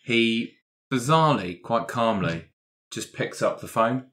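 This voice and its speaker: A man playing a tabletop roleplaying game, describing his character's actions in a casual, measured way. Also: mid-range voice, animated, a bit bright.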